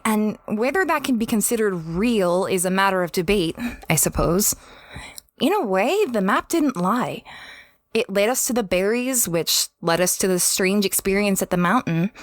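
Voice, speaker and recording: A woman's voice: mid-range voice, no room sound, close to an everyday voice. A woman playing an apologetic young female space adventurer in an audio drama, hesitant and self-effacing. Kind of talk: audio drama dialogue and diary-like narration.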